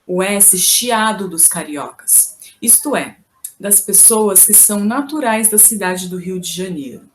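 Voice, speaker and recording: A woman's voice: medium pitch, a little slow, slight background noise. A woman from Brazil teaching a video lesson on language, explaining each point patiently and carefully. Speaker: Portuguese teacher.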